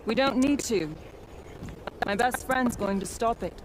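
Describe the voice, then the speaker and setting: A woman's voice: fairly high pitch, measured pace, noisy background, lightly acted. A woman playing a weary, sardonic young fighter in a video game, naturalistic, dry and earnest in delivery. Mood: regretful.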